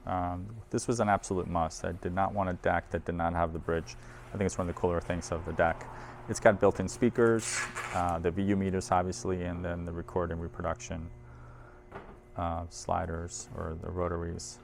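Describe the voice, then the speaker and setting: A man's voice: low-pitched, flat delivery, normal speed, vocal fry, noisy background. A man presenting audio equipment in a casual hands-on demo, informal and thinking aloud as he handles the machine.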